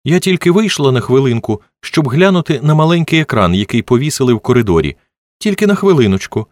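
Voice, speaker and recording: Man playing high-pitched, flustered young woman. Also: sing-song, quick pace, a little mellow, no room sound, natural voice. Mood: tearful, defensive. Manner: agitated, pleading and accusing. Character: tearful, defensive young woman, a flustered nursery helper.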